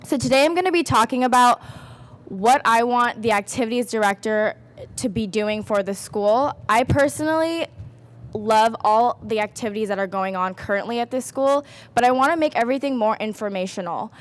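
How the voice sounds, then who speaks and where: A woman's voice: a little high, normal speed. A young woman, a student candidate, gives a chatty, upbeat campaign speech. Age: young adult.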